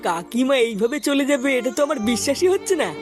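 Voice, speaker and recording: A woman's voice: sits high, sing-song, fast. A woman voicing an earnest, concerned young male friend in a comic cartoon. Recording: faint music behind the voice.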